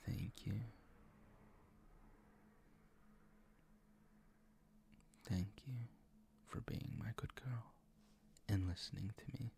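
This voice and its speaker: A man playing a doting, gently dominant boyfriend in an intimate ASMR roleplay, speaking in a soft, coaxing, reassuring way with a breathy, gravelly whisper. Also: fairly low pitch, measured pace. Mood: gently firm. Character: doting, gently dominant, teasing boyfriend comforting his partner.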